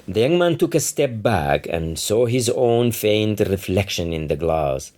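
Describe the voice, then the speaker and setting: A man's voice: lowish voice, sing-song, a little slow, a little mellow. A man reading literary fiction aloud as its author, in scripted narration. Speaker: author reading from his novel.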